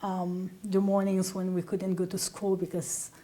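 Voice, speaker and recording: A woman's voice: fairly low pitch, completely flat delivery, a little slow, dark tone. A woman in her thirties from Haiti, telling personal stories conversationally as an interview guest.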